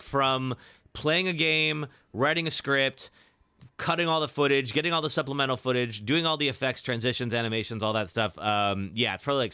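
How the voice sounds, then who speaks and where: A young man, slightly raspy today, chatting casually while live streaming a game and answering chat. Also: mid-range voice, no room sound, clean studio sound. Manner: chatty, reacts with sudden loud exclamations; filler-heavy, answers chat. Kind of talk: live gameplay commentary.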